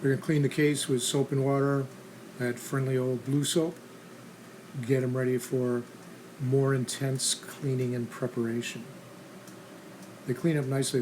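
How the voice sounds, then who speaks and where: A man with a medium-pitched voice narrates a restoration how-to in a casual style. His delivery is plain, practical and instructional. Clear background noise and ambience sit behind his words. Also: a little mellow, poor audio. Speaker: host of a restoration how-to channel.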